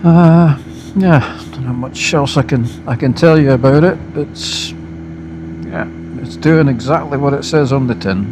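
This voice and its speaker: A Scottish man giving a casual, unscripted product review as a motorbike vlogger, speaking haltingly in short phrases while riding outdoors. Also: mid-range voice, lively intonation. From Scotland. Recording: loud motorbike wind and engine noise.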